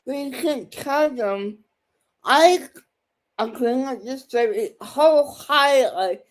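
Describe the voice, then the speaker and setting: A woman's voice: high-pitched, animated, slow, a little hiss or hum, poor audio. A woman giving casual reaction commentary, blunt and speaking in disjointed phrases.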